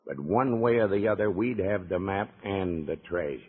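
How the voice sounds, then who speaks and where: Low-voiced, menacing outlaw boss, old radio. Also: male voice, normal speed, breathy edge. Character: menacing male kidnapper gang boss, threatening and sly. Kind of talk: old-time Western radio serial acting. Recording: noisy, tinny old radio recording.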